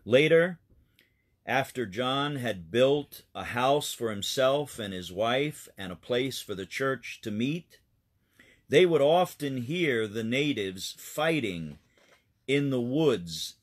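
Expressive man reading a children's story. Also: mid-range voice.

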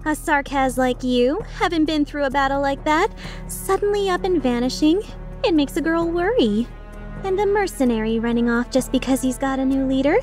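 Woman playing a cocky, teasing high-voiced mercenary woman. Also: expressive, normal speed, a little mellow, soft background music. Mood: teasing menace. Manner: playful, sarcastic, taunting. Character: cocky, teasing, menacing female mercenary leader. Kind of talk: video game fan dub acting.

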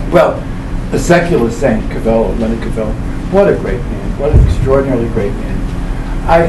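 An older man, around his seventies, gives an informal lecture with emphatic, repeated phrases. He is picked up from a distance in a reverberant room. A clear hum of background noise sits behind his mid-pitched voice. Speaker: professor presenting a book. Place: small indoor event room. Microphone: camera a few metres away.

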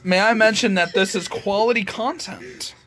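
A man's voice: sits high, normal speed, fairly bright tone. A young man in casual banter with friends, recorded on a handheld phone in a small bedroom. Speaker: friend filming and commenting. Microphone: handheld phone camera.

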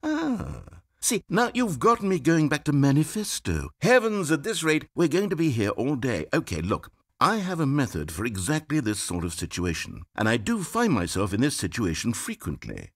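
An actor playing a pompous, self-absorbed game narrator who rambles in a grand, wordy way. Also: male voice, medium pitch, huge pitch swings, moderate pace, husky, full character voice. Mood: musing. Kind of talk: scripted video game narration. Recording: dry studio voice-over.

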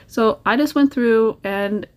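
A woman's voice: fairly high pitch, takes it slowly. A woman talking casually through a nail art tutorial, chatty and encouraging, sharing little tips as she works.

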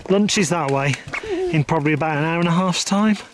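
A man's voice: on the high side, fairly quick, background noise. A middle-aged man talking casually to a handheld camera outdoors on a mountain trail, upbeat and chatty. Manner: chatty, upbeat, thinking aloud. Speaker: travel vlogger. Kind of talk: casual hiking vlog narration. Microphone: action camera at arm's length.

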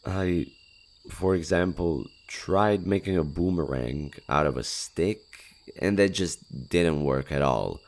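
Man playing a shy, deep-voiced monster. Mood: sheepish. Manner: hesitant, halting, innocent wonder. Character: shy, gentle male skinwalker monster, curious about humans. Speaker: voice actor. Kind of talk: intimate audio roleplay, one-sided dialogue.

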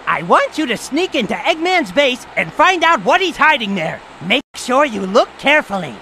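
A brash young gang leader from a video game, gives orders in a high, gravelly voice. He is cocky and bossy, with big swings of pitch. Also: very animated, normal speed, bright, forceful tone, a little hiss or hum, heavily acted. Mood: commanding. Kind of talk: video game cutscene acting.

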